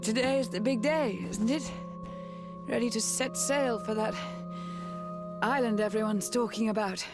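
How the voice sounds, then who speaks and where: A woman's voice: on the high side, a little slow, pauses often, close to an everyday voice. An actress playing a frail, tender, dying noble mother in a video game, weary and sighing. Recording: loud music behind the voice.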